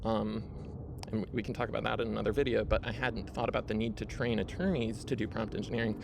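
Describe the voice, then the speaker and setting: A man's voice: lowish voice, fairly even intonation, fast, creaky, background noise. A man hosting his own video, casually walking viewers through his screen with lots of fillers and "right?" tags. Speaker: video host. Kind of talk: casual screen-share walkthrough.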